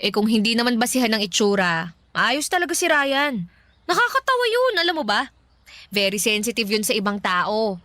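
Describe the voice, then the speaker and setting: A woman's voice: fairly high pitch, moderate pace, no room sound. A woman playing a playful, pushy young matchmaker in a radio drama, teasing and giggly. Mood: persuasive, upbeat. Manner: teasing, giggly, animated, persuasive. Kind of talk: Filipino radio drama dialogue.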